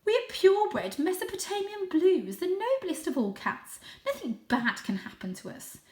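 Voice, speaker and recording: A woman's voice: very high voice, sing-song, normal speed, reverberant, pitched above the performer's own voice. A woman voicing a plump, smug, haughty aunt cat from a storybook, confident and pompous in manner. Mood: smug, assured.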